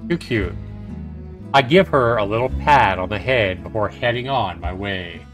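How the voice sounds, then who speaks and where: Slow-paced man reading a game dramatically. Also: on the low side, lively intonation, fairly bright tone. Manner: dramatic reading, colloquial asides. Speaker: livestreamer. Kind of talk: game narration read aloud with casual commentary. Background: game music.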